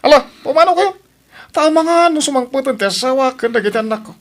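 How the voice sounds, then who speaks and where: A man's voice: very high voice, expressive, fast. A man with an Ilocano accent playing a curt, agitated, evasive father who rejects his child in a radio drama. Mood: agitated refusal.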